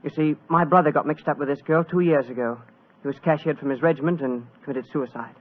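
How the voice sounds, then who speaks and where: An actor as earnest, higher-voiced young British civil servant. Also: male voice, fast talker, lightly acted. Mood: earnest, urgent. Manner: polite, eager, earnest. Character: earnest, deferential young British Foreign Office man. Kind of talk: 1940s radio acting. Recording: old muffled 1940s radio recording.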